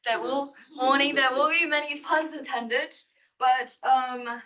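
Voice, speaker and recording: A woman's voice: high voice, steady tone, a little slow, bright, forceful tone. A young woman from Thailand giving a live science-writing presentation, nervous and giggly, with a playful manner. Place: lecture room.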